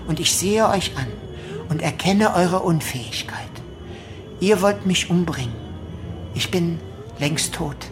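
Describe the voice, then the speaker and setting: A woman acting a bitter, defiant prisoner in a radio play, speaking in a measured, accusing, solemn way with pronunciation. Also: lowish voice, lively intonation. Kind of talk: dramatic radio play monologue. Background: music.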